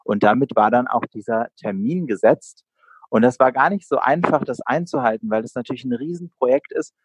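A man's voice: medium pitch, a little fast, husky, dry recording. A man in his late twenties from Berlin, answering interview questions conversationally as a guest entrepreneur. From Berlin, Germany.

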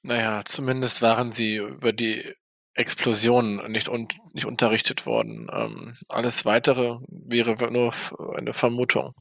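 A man playing a composed, courteous, probing vampire in an audio drama, measured and formal. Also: medium pitch, animated, creaky, a bit bright, no echo. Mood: cool, measured. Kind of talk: German audio drama role-play acting.

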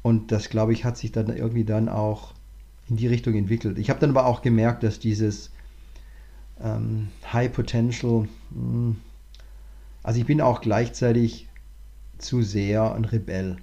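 A man, a management consultant, talking through his career in a relaxed interview, thinking aloud with many restarts. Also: fairly low pitch, measured pace, gravelly, highs cut off. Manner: thoughtful, many fillers and restarts. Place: lives in Cape Town, remote interview.

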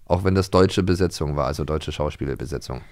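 A man's voice: a bass voice, a little fast, darkish tone, dry recording, studio quality. A man co-hosting a casual chat about audio dramas, informal and often repeating words as he forms his thoughts. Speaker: podcast co-host.

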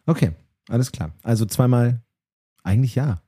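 A man's voice: medium pitch, moderate pace, warm tone, husky, no room sound, studio quality. A man interviewing two guests in a casual way, probing with chatty follow-up questions.

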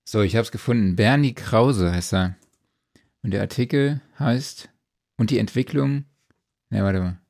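Low, creaky-voiced man hosting. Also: a little slow, long pauses, a little mellow, no room sound, clean studio sound. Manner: informal, colloquial. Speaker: podcast host. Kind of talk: casual podcast interview.

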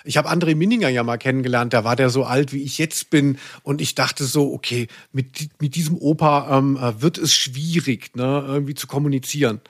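A man's voice: mid-range voice, fairly quick, hardly any pauses, no room sound, clean studio sound. A man chatting casually and humorously as a podcast host. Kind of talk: casual humorous chat about audio plays.

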